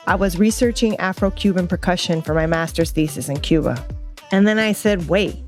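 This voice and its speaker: A woman's voice: fairly low pitch, brisk, soft background music. Host narrator, woman in her thirties, scripted and heartfelt delivery. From United States. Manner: reflective, earnest storytelling.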